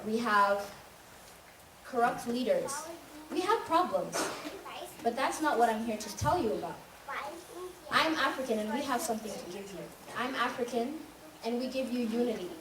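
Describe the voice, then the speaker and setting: A woman's voice: fairly high pitch, expressive, moderate pace, crowd noise. Young woman declaiming on an echoey stage. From Tanzania. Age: young adult. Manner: emphatic, rhythmic, declaiming. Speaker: student speaker. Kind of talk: spoken-word monologue on stage. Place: school auditorium stage. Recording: echoey hall recorded from far off, noisy.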